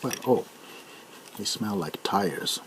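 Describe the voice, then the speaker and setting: A very low-voiced man chats casually in ASMR style, halting and correcting himself as he goes. The recording has clear background noise, with clicks, tapping and crinkling of packaging behind the voice. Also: expressive, low-quality recording. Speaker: video host showing items. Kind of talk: ASMR, casual rambling chat.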